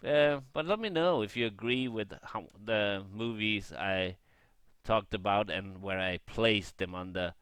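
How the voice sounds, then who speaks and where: A man giving a casual, rambling review as a gaming vlogger, unscripted and halting, thinking aloud as he goes. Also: on the low side, fairly bright tone, clean tone, no room sound.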